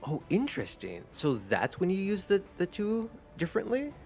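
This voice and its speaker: A man whose first language is Spanish, chatting casually as a streamer while gaming, in a rambling, relaxed way. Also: sits high, normal speed, creaky, soft background music. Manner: rambling, relaxed, laughs easily.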